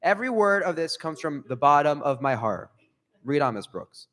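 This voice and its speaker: A man acting as a pompous, half-deaf male principal in an old-time radio comedy. His high voice swoops about as he sputters and stammers, ringing through an echoey hall. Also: sing-song, normal speed, a bit bright. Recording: echoey hall with long reverb.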